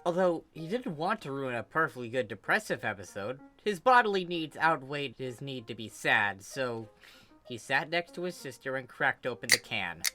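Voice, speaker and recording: An amateur narrator reads a fan fiction story expressively. The voice sits at a middling pitch, with faint music playing behind it. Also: sing-song, moderate pace, sharp tone.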